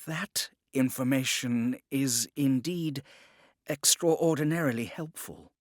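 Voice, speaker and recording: A man voicing a smug, unruffled gentleman agent of a secret order in an audiobook, with a polished, formal, unhurried manner. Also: medium pitch, no echo, studio quality. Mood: persuasive.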